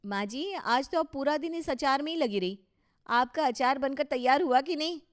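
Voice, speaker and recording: A woman's voice: sits high, animated, normal speed, voice pushed up. A woman playing a cheeky young daughter-in-law in a Hindi cartoon story, polite but teasing and questioning. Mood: curious, mocking.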